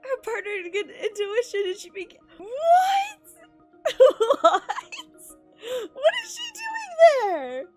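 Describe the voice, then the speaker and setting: A woman game streamer reading story text aloud and reacting live, excitable and prone to exclaiming and stumbling over words, with game music behind her. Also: very high-pitched.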